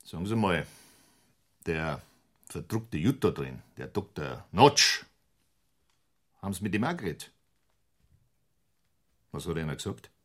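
An actor playing a condescending, self-satisfied provincial official in a radio crime drama, with a Bavarian accent and sardonic manner. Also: male voice, low-pitched, sing-song, unhurried, long pauses, breathy edge, exaggerated voice. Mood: scornful, amused. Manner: patronising, sardonic.

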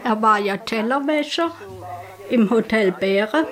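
A woman's voice: a little high, measured pace. A woman recalling her past in an interview, speaking informally. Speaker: interviewed witness. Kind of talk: spoken recollection in an interview.

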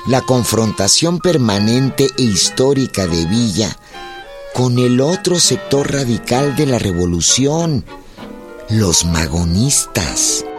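A man's voice: mid-range voice, expressive, slow. A man narrating a scripted historical radio drama in a solemn, literary storytelling manner. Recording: music behind the voice.

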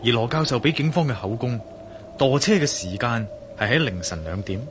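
An actor playing an inquisitive, bold male adventurer in a radio drama, with a Hong Kong Cantonese accent and a deliberate, pondering manner. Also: medium pitch, expressive, noisy background, lightly acted. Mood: pondering, determined. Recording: radio drama with clear music behind.

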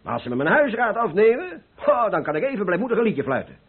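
An actor playing a cheerful, carefree older painter who philosophizes persuasively in a radio play. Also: male voice, high-pitched, big pitch swings, quick pace, slightly rough, full character voice. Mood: urging, blithe. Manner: exuberant, persuasive, rhetorical. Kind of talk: Dutch radio play acting. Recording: muffled old radio play recording.

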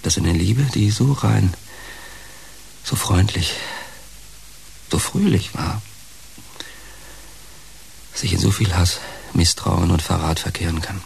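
Radio play: a brooding, heartbroken ex-star, gravelly and low, halting dramatic lines. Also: male voice, exaggerated voice, low-quality recording. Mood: aching. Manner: halting, dramatic, emotionally laden delivery. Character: bitter, heartbroken fallen entertainer, male, wounded and brooding. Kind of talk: German radio play dialogue. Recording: clear background hiss.

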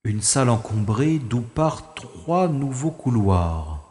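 A man voices a composed special agent who narrates his own adventure in the first person, dropping dry, wry asides. His voice sits at a middle pitch, and the recording has a roomy echo with a long reverb and music underneath. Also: sing-song, slow pace, a little mellow. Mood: calm. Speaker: lead character and narrator.

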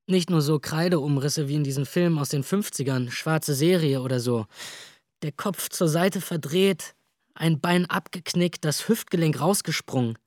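A wry, jittery young man, voiced by an actor, tells the story in a radio play, his voice sitting a touch high. He talks with sardonic, dry asides. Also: normal speed, no echo, lightly acted. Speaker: narrator. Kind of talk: radio drama first-person narration.

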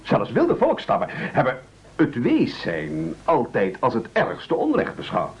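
An actor playing a pompous, self-pitying castaway chief who wheedles and orates in a theatrical radio play. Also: male voice, fairly high pitch, wildly swooping pitch, a little slow, gravelly, slightly rough, heavily acted. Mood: pompous, indignant. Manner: bombastic, oratorical, self-pitying.

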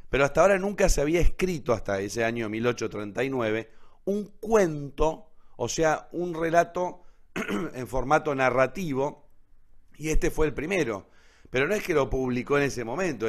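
A man with an Argentine accent presenting a radio theatre programme, explaining literature informally and didactically, repeating key points and checking in with short questions. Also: mid-range voice.

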